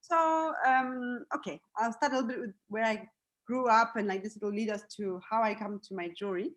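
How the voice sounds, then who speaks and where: A woman's voice: fairly high pitch, a little fast. A young woman from Switzerland, raised in Zimbabwe, talking casually as an interview guest, with lots of fillers and restarts. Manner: chatty, many fillers and restarts, light laughs.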